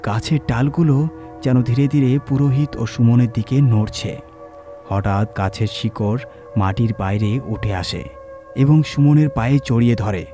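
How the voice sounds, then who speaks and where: A man narrating a scripted horror story in a measured, suspenseful, dramatic storytelling manner. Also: medium pitch, warm tone. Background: music.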